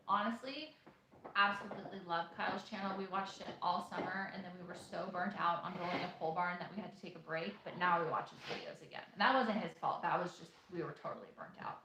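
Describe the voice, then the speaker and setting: A woman's voice: lowish voice, fast talker, background noise. Chatty young woman in an echoey room. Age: 20s. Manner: chatty, reads questions aloud, many fillers. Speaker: co-host of a homesteading channel. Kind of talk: casual live Q&A chat. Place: room in an off-grid shop.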